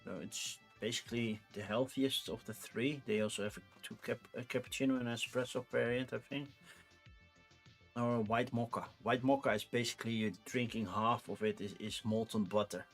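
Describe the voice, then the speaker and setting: A man's voice: medium pitch, fairly even intonation, normal speed, soft background music. A Dutch man in his forties with a Dutch accent, chatting casually and rambling on a livestream, with frequent restarts. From Netherlands. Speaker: streamer.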